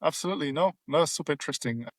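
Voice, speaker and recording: A man's voice: fairly high pitch, racing, dry recording. Hesitant male podcast host. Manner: hesitant, many fillers and restarts. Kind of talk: casual interview talk.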